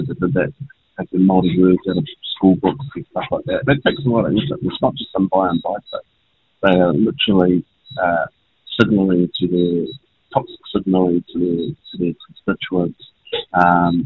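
A man's voice: low voice, vocal fry, slightly rough, no echo. A man with a New Zealand accent, calling in from a train as a politician guest and speaking in a pointed, impassioned way. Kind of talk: phone-in interview. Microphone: phone. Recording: tinny phone line.

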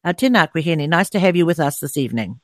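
A woman's voice: deep voice, lively intonation, quick pace, dry recording. A woman hosting a radio interview from the studio, hesitant with fillers and restarts. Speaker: radio host. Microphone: studio mic.

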